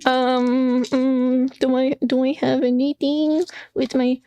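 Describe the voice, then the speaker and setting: A woman's voice: sits high, level tone, slow pace, dark tone, slight background noise. A woman playing a tabletop role-playing game, casual and joking, swearing often. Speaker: player.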